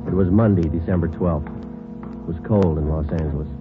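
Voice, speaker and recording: An actor playing a laconic, businesslike police detective sergeant in a 1940s radio drama, deadpan and clipped. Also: male voice, low-pitched, normal speed, over music, lightly acted. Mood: flat, factual. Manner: deadpan, clipped, matter-of-fact. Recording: tinny, hissy old radio recording.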